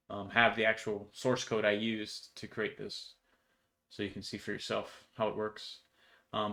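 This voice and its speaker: A man's voice: on the low side, a bit bright. A young man giving a casual, offhand walkthrough of code in an informal explanatory way. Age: young adult. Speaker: programmer presenting own project. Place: indoors in a home room.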